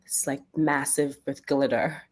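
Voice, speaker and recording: Woman, Bermudian accent, low-pitched, animated, fillers, interview guest. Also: measured pace. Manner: animated, searching for words with many fillers. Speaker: guest, Broadway performer. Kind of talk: casual interview talk. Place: lives in New York.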